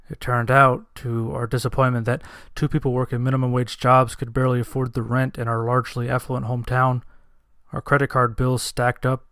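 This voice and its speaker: A man's voice: medium pitch, a bit bright, clean tone, dry recording, clean studio sound. A man narrating a first-person dramatic story in a somber, earnest manner.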